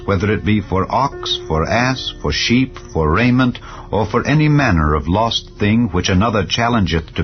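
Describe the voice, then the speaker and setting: Deep-voiced man solemnly reading scripture. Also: highs cut off. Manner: solemn, measured, declarative. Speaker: Bible narrator. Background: clear background music.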